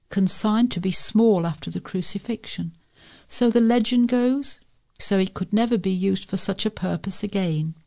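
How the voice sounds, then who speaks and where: A woman reads local news and features from a script. Her voice is mellow and soft-edged, with frequent creak, at a middling pitch for a woman. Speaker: volunteer newsreader for a local talking newspaper.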